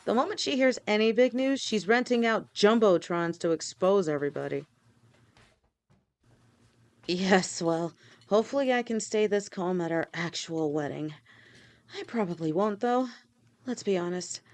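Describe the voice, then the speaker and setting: A woman voicing a gentle, protective snake-woman girlfriend who talks softly and reassuringly to her partner in an audio roleplay. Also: mid-range voice, animated, moderate pace, long pauses, natural voice. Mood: fond teasing. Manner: soft, reassuring, speaks directly to a partner.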